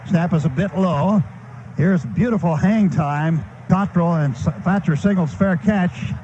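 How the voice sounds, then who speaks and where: A man's voice: sits high, measured pace, dark tone. An American man doing live radio play-by-play of a football game, describing the action in short, vivid bursts. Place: stadium broadcast booth. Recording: dull, noisy archived radio broadcast.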